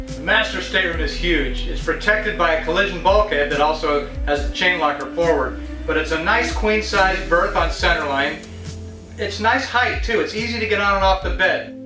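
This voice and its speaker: A man's voice: high-pitched, distant mic. A middle-aged man giving an enthusiastic scripted tour of a yacht, describing each space as he walks through it. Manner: enthusiastic, descriptive, louder out on deck. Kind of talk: scripted walkthrough presentation. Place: aboard a yacht, cabins and open deck. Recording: roomy echo, voice a bit off-mic, music underneath.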